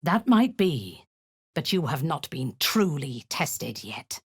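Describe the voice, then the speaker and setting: A woman playing a ruthless, cruel and seductive female dark elf war commander in a video game, with a breathy, husky voice and a commanding, menacing, deliberate manner. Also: sits low, very animated, no echo, clean studio sound, full character voice. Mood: cold command.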